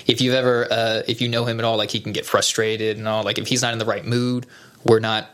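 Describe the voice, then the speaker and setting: A man's voice: lowish voice, quick pace. A man, a musician guest, talking casually and loosely about making his band's song and video. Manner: relaxed, rambling with fillers. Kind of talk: casual interview chat.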